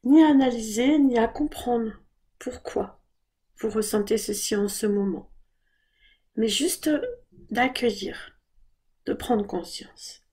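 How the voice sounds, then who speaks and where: Female meditation guide, mellow and breathy, very measured pace, calm and gentle instruction. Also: on the high side. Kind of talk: guided body scan meditation.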